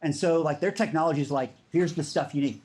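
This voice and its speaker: A man's voice: a little high, fast, a little mellow. A man giving a conference talk in a casual, enthusiastic way, with many fillers. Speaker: speaker.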